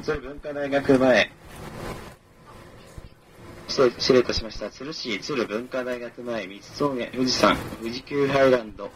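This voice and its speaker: A man's voice: a little slow, pauses often. Male train conductor on a noisy onboard PA. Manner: formal, polite, repeats key information. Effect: public address speaker. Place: inside a moving train, over its PA. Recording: noisy train PA speaker, rumbling vehicle.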